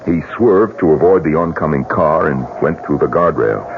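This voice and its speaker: An actor playing a shaken, testy widowed husband in a radio drama, curt and sardonic. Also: male voice, extremely low pitch, normal speed. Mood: testy, grieving. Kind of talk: 1970s radio drama acting. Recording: muffled, noisy old radio recording.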